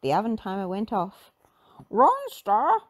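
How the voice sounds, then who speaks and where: A woman narrating a children's storybook in an animated read-aloud style. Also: lowish voice, measured pace, no echo, studio quality.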